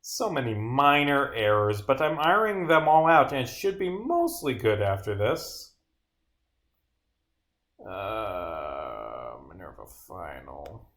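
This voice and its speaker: A man's voice: fairly low pitch, wildly swooping pitch, takes it slowly, a bit bright. Sweary man muttering on a live stream. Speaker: game developer and live streamer. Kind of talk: casual live stream commentary.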